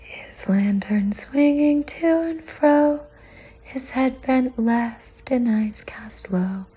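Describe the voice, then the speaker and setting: A woman voicing an eerie chanter who recites a foreboding rhyme in a breathy, creaky whisper for a horror audio play. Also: high voice, warm tone, full character voice. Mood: menacing. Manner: slow, foreboding. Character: eerie female chanter of a creepy scarecrow rhyme.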